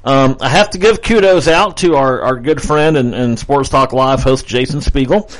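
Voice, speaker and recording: A man's voice: mid-range voice, moderate pace, rough recording. A man co-hosting a local radio talk show, bantering playfully and laughing often. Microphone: studio microphone.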